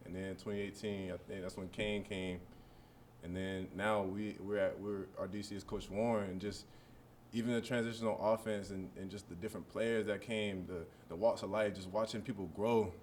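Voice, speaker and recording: A man in his early twenties, a college football player, answering press questions in a relaxed, rambling way full of fillers. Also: on the low side, normal speed, slight background noise. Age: early 20s.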